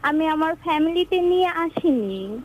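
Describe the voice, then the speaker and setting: A woman's voice: sits high, animated, unhurried, dull audio, slight background noise. A young woman, a university student, calling in to earnestly explain her relationship. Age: young adult. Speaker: caller.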